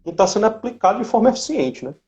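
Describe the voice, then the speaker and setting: A man's voice: sits high, very animated, very fast, darkish tone, faint music behind. A Brazilian man hosting a casual live stream, reading questions and commenting, heard over slightly dull internet-call audio.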